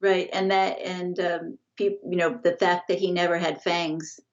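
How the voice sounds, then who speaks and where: A middle-aged woman with a lowish voice talks as a guest on a video call, stopping and restarting her sentences often. The audio is dull and lo-fi. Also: level tone. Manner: halting, many restarts and fillers. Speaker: guest, family estate representative. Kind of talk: casual interview over video call. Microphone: video call, earbuds.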